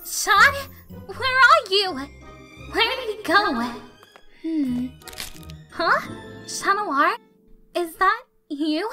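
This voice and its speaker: A woman voicing an anxious, determined teenage superhero girl in an animated comic dub, gasping and exclaiming. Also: very high voice, extremely slow, pauses often, full character voice. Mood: alarmed, searching. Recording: music behind the voice.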